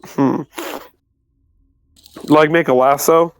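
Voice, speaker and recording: A man playing in a tabletop roleplay game, asking eager, halting questions. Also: on the high side, fairly even intonation, smooth. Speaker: player. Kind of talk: casual tabletop game play.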